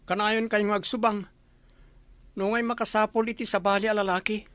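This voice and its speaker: A man's voice: sits high, level tone, normal speed, fairly bright tone, dry recording. A man with an Ilocano accent playing a worried, questioning grown son in a radio comedy-drama. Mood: worried, reproachful.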